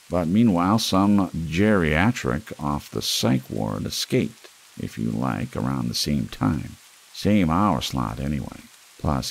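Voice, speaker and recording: A man with an extremely low, gravelly voice narrates noir fiction for an ASMR audiobook. His delivery is brooding and wry, with lively swings in intonation.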